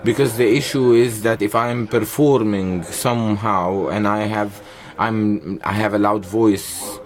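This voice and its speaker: A man with an Egyptian accent interpreting a conference panel into English simultaneously, halting often and correcting himself mid-phrase. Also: fairly low pitch, fairly even intonation. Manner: halting, many pauses and self-corrections while keeping up. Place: conference hall interpreting booth.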